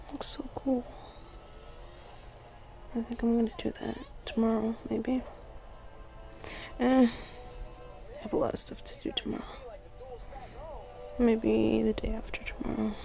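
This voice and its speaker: A young woman doing girlfriend ASMR roleplay in a soft, sleepy voice that often drops to a whisper, murmuring affectionately and drowsily. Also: a little high, expressive, slow pace, gravelly, pauses often, music in the background. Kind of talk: ASMR girlfriend roleplay, casual intimate chat.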